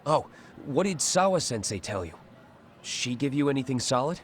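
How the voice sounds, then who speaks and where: An actor playing an earnest male high school student with a casual, sincere way of talking in a video game. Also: sing-song, normal speed, a little hiss or hum, lightly acted. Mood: curious, concerned. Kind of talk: video game cutscene acting.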